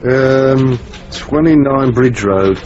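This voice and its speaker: An actor playing an informal, plain-spoken junior detective constable in a naturalistic radio drama. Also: male voice, medium pitch, drawn-out words, a little mellow. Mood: casual, curious. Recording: muffled, noisy old radio broadcast.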